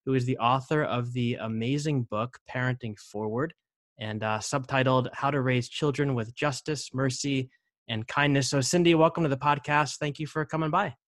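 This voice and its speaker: A man's voice: mid-range voice, steady tone, moderate pace, fairly bright tone, no echo, clean studio sound. A man hosting a podcast, talking in a friendly, chatty way with many fillers. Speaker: podcast host. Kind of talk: casual interview chat.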